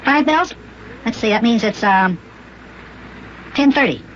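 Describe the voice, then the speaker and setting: A man voicing an excitable, cheerful little elf boy with a squeaky child-like voice in an old children's radio serial. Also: measured pace, heavily acted. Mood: chatty. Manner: eager, repeats himself. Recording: noisy, muffled old radio recording.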